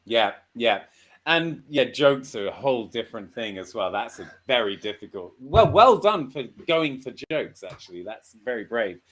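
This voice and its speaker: A man's voice: medium pitch, sing-song, moderate pace, fairly bright tone. A man hosting a casual group discussion, speaking informally and encouragingly. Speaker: host.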